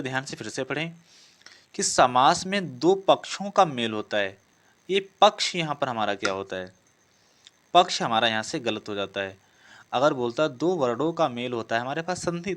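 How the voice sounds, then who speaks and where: Man, coaching teacher, medium pitch, reads options aloud, confirms each one, self-correcting. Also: fairly bright tone. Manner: self-correcting, repetitive phrasing. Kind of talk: explaining practice questions in a lesson.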